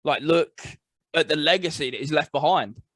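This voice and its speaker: English man, enthusiastic podcast co-host, slightly high voice, dry sound. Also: normal speed, fairly bright tone, dry recording. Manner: enthusiastic, repeats phrases for emphasis. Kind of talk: casual chat over video call. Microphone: remote call mic.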